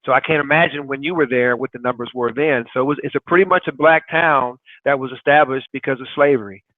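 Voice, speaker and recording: A man hosts an interview over a thin, phone-like connection, with a dry close sound. His voice sits at a middle pitch. He asks curious follow-up questions and laughs along with his guest. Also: fast, no echo. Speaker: interview host. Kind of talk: online interview show. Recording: tinny phone-like call audio.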